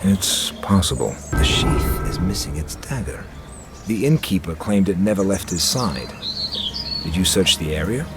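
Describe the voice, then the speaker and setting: An actor playing a grim, sharp-tongued inquisitor in a video game, speaking tersely and dryly. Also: male voice, low voice, expressive, a little slow, music in the background. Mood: dry, probing. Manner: terse, dry, matter-of-fact. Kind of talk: dramatic video game cutscene acting.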